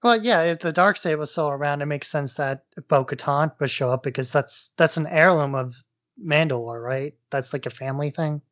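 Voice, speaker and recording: A man's voice: a little high, moderate pace, a bit bright. A man co-hosting a podcast, talking in a casual, offhand, opinionated way. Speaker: podcast co-host.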